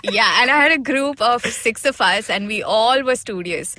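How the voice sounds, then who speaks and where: A woman's voice: on the high side, expressive, normal speed, background noise. A woman with an Indian accent talking casually as a comedian guest, in a slangy, joking, self-mocking manner. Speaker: guest, stand-up comedian and writer. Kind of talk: casual podcast chat.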